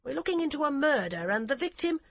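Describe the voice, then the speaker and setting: A woman's voice: high voice, very animated, quick pace, fairly bright tone, pitched above the performer's own voice, full character voice. A woman voicing a blunt, flustered female detective sergeant. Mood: earnest.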